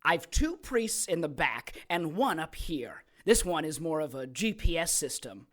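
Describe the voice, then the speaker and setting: A man's voice: on the high side, big pitch swings, unhurried, a bit bright, heavily acted. A man playing a cold-blooded ritual killer in an audio drama, dry and sarcastic. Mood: sardonic. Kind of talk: audio drama villain acting.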